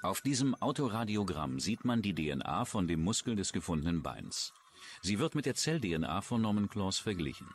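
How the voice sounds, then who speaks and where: A man's voice: sits low, big pitch swings, moderate pace. A man with a standard German accent reading scripted true-crime documentary narration.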